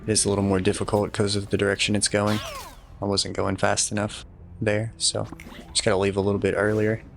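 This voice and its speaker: A young man talks through a video game walkthrough in an easygoing, instructive way, now and then poking fun at his own mistakes. His voice is low and gravelly, with a flat, level delivery. Faint game music plays behind him. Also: fairly quick. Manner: easygoing, instructive, slightly self-deprecating. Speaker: gameplay guide commentator. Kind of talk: relaxed walkthrough commentary.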